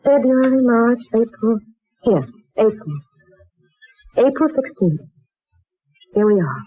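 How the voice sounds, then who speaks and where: An actress playing an uneasy, earnest young working woman in an American 1940s radio drama, speaking hesitantly and deliberately with an American accent. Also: a little high, expressive, breathy edge, full character voice. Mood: grave, searching. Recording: muffled, tinny 1940s radio recording with music.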